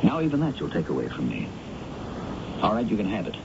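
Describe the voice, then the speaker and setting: A man's voice: lowish voice, huge pitch swings, fast talker, vocal fry, heavily acted. Bitter, swooping American man, muffled radio. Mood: bitter, defeated. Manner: theatrical, wounded, sardonic. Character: bitter, lovelorn, defeated magazine editor. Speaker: radio drama actor. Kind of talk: 1970s radio drama acting. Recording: muffled, noisy old radio broadcast recording.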